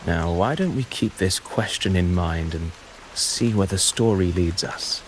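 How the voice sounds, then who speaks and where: An actor playing a humble male storyteller poet in a video game, speaking in a measured, poetic, declamatory way. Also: low-pitched, noisy background. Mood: solemn. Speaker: game character. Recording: game audio with loud rain. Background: steady rain.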